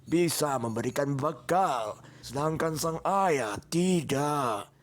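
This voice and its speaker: A man acting a stern, admonishing elder in a comic dub, speaking deliberately. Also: on the high side, expressive. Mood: solemn. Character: stern male elder guiding a young man.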